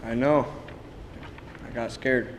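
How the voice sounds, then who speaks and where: A man acting in a play reading as a frightened, confused man losing his memory, subdued and halting, in a reverberant, noisy hall. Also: mid-range voice, fairly even intonation, lightly acted. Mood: scared. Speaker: drama student actor. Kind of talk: dramatic reading of a stage play. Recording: reverberant hall with background noise.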